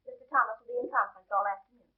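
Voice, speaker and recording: A prim and skeptical receptionist in a 1930s picture. Her voice is very high and comes through a muffled, tinny old soundtrack. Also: steady tone, rapid-fire, husky. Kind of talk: 1930s film acting.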